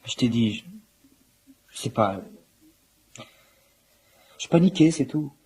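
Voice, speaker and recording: A husky teenage boy in a naturalistic radio drama, played by a young male actor. He is sullen and withdrawn, and his replies are clipped and defensive. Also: medium pitch, expressive, slow, mellow, exaggerated voice, rough recording. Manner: terse, grudging replies.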